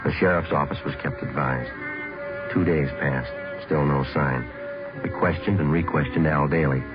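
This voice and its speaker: An actor with an American accent playing a terse police detective who narrates in a clipped, deadpan way in an old radio drama. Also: male voice, very deep voice, moderate pace. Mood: deadpan, factual. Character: terse, businesslike male police sergeant detective. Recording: dull old radio recording, music behind.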